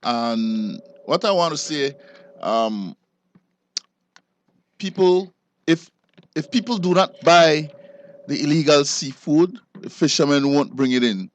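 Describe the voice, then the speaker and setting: A man speaking as a radio guest in a halting way, repeating words as he goes. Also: a little high, lively intonation. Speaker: studio guest and quiz judge.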